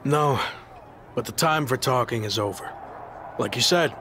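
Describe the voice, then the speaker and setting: A man's voice: medium pitch, unhurried, bright, forceful tone, slight background noise. A man playing a determined underdog pro wrestler in a video game cutscene. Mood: dry, resolved.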